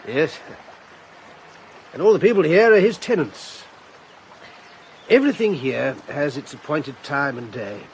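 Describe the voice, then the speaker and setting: An actor playing a middle-aged retired general who also narrates the story, in a radio drama. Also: male voice, a little high, animated, a little hiss or hum, poor audio. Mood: assured. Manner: assured, measured.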